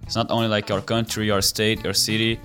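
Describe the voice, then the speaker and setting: Easygoing man, lowish voice. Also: level tone, a little fast, fairly bright tone, faint music behind. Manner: easygoing, lots of "like". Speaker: co-host. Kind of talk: casual interview chat.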